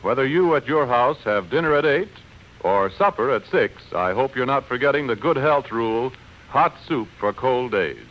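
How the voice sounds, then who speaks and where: A man's voice: mid-range voice, lively intonation, normal speed. An American man working as a radio announcer, reading scripted 1940s advertising in a folksy, persuasive, emphatic way. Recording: dull vintage radio broadcast recording.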